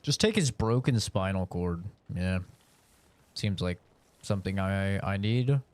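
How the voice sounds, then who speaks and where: A man's voice: low-pitched, expressive, a little slow, darkish tone. A young man chatting casually while streaming a game, rambling and swearing in a laid-back way. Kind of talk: casual live-stream chat while gaming.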